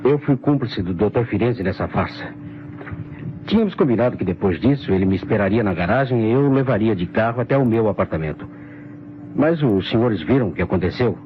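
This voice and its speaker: Man acting a low-voiced Brazilian gentleman suspect. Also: lively intonation, brisk, lightly acted. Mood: startled, confessing. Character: smooth-talking gentleman suspect, a gambler feigning innocence. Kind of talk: old Brazilian radio mystery acting. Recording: dull, noisy old radio recording.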